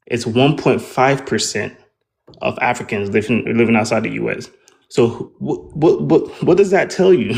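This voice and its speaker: A man's voice: mid-range voice, creaky, darkish tone. A man on a debate panel, insistently laying out percentages to make his point. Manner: rattles off numbers, pointed and insistent. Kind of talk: argumentative debate.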